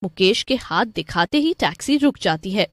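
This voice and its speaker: A woman's voice: mid-range voice, expressive, moderate pace, fairly bright tone, dry recording, studio quality. A woman narrator giving a scripted narration of a cartoon story.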